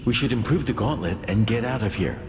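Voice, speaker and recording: A man's voice: fairly low pitch, fairly quick, in a large hall, natural voice. An actor voicing a dry, pragmatic male companion in a fantasy video game. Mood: dry, musing.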